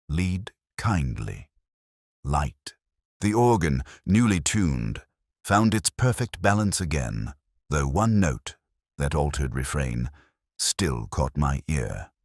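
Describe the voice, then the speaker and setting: Male actor as world-weary English detective narrator for radio-style mystery: extremely low, husky, solemn and reflective. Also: dry recording, studio quality, exaggerated voice. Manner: solemn, wistful, measured. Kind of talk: first-person literary mystery narration.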